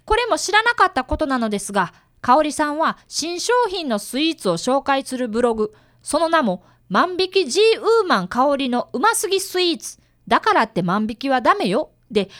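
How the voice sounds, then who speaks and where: A polite young boy detective, acted by a woman, high and very animated, recorded close and dry. He explains things earnestly in a youthful radio-drama manner. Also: a little fast, dry recording. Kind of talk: radio drama acting with first-person narration.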